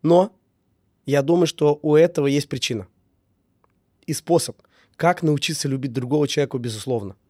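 Male interview guest, slight cold, quick delivery, soft-edged tone, emphatic list-like repetition, informal. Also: on the high side, dry recording, clean studio sound. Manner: emphatic, repeats phrases in lists. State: getting over a cold. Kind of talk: casual reflective talk on relationships.

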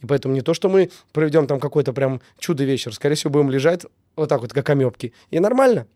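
A man's voice: fairly high pitch, lively intonation, fast, warm tone, no echo, clean studio sound. A man with a slight cold speaking casually and reflectively as an interview guest, emphatic, repeating phrases in lists. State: getting over a cold. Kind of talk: casual reflective talk on relationships.

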